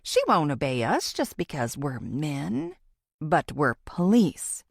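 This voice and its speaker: A woman narrator puts on an anxious male cop in a thriller audiobook. His higher voice swings widely as he frets and presses his point with pious alarm. The sound is dry. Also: sing-song, slow pace, creaky, husky, no room sound, exaggerated voice. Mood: agitated. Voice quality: higher-pitched man's voice. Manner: agitated, worried.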